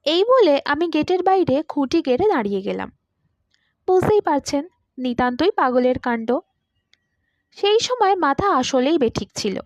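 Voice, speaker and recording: A high female voice narrates a literary short story with dramatic expression. The sound is dry and close, with a slightly dull, lo-fi finish. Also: a little mellow, no echo. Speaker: story reader.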